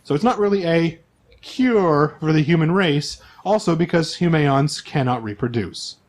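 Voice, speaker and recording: A man's voice: on the high side, animated, normal speed. A man speaking as host and game master, explaining things to players and listeners in a chatty, casual way.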